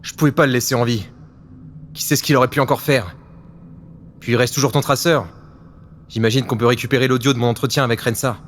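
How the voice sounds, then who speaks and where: A man's voice: medium pitch, fairly even intonation, quick pace, pauses often, slight background noise, lightly acted. A man playing a grave, resolute and vengeful secret agent on his last mission in a French audio drama. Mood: weary, resolved. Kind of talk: French audio fiction acting.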